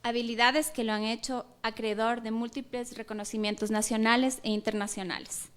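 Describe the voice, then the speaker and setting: A woman's voice: fairly high pitch, little pitch movement, normal speed, clear, resonant tone. A woman hosting the event, reading a formal introduction of the guest speaker. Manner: formal and declamatory. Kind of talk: scripted introduction.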